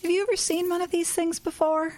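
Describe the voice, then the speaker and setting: An actress with an American accent playing a gentle, scatterbrained older hippie mother, soothing but slightly flustered, in an audio drama. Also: very high voice, level tone, fairly quick. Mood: gentle, firm.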